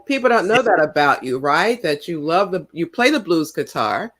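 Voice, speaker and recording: A woman hosting a podcast, introducing and questioning her guest in casual chat with encouraging prompts and quick laughs. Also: lowish voice, big pitch swings, over a noisy crowd. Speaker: podcast host.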